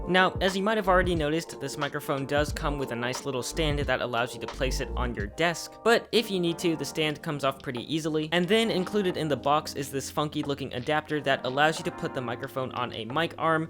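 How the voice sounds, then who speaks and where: Young male hobbyist reviewer, clear and explanatory, close-miked, with music under his voice. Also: on the high side. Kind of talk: scripted product review voiceover. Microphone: close USB condenser microphone.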